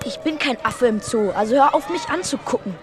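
A woman's voice: on the high side, animated, a little fast, a crowd in the background, lightly acted. A performer playing a stubborn, homesick eight-year-old boy in a radio play, sulky and full of insistent questions. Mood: defiant. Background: music.

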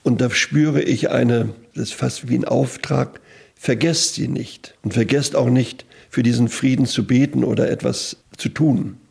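A man with a raspy, gravelly voice answering as a clergyman in an interview, earnest and pausing to think. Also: mid-range voice, steady tone, a little mellow. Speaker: auxiliary bishop.

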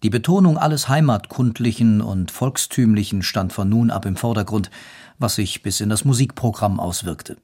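A man narrating a scripted historical account in a measured, matter-of-fact way. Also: fairly low pitch, a little mellow, slightly rough. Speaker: documentary narrator.

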